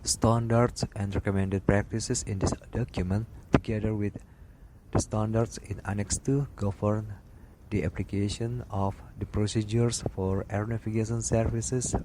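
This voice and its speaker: A man reading aviation study material aloud in a slow, halting way, often restarting a word. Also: lowish voice, flat delivery, heavy background noise. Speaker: aviation instructor.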